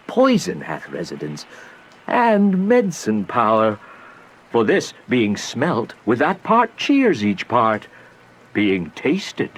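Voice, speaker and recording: An actor playing a wise, fatherly monk in a Shakespearean radio drama, speaking verse in a deliberate, sententious way. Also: mid-range voice, slight background noise, full character voice.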